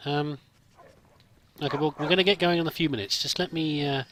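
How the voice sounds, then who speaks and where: A man's voice: fairly high pitch, steady tone, brisk. A man giving casual, in-character roleplay commentary over a video game, rambling politely and hesitantly. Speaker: let's play host. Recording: faint background noise with game ambience.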